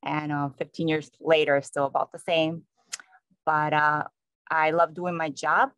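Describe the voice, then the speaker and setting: A man with a New York accent, a police sergeant introducing himself on a panel in a plain, conversational way with many pauses. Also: on the high side, level tone, a bit bright, smooth, no room sound. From New York, USA.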